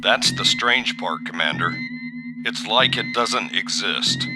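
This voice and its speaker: An actor playing a dutiful, inquisitive bridge officer in a science fiction audio drama. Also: male voice, lowish voice, animated, takes it slowly, loud music behind the voice. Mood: puzzled, reporting.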